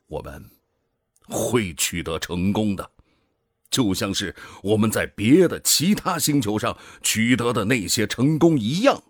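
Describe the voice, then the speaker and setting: A cold, middle-aged commander in a sci-fi radio drama. His voice is husky and low, and he argues slowly with swelling, dropping emphasis. He is measured, authoritative and persuasive, in a dry studio sound. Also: no echo, full character voice. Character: cold, ambitious male project commander, middle-aged, coaxing and self-justifying. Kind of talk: Chinese science-fiction radio drama acting.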